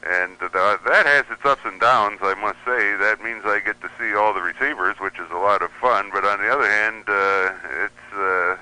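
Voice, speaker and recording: A man's voice: on the low side, normal speed, few pauses, pushed and bright, clear, resonant tone, poor audio. A man speaking as a guest on a radio talk show, hesitant and full of fillers and restarts. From United States. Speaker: guest radio receiver reviewer. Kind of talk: casual interview chat.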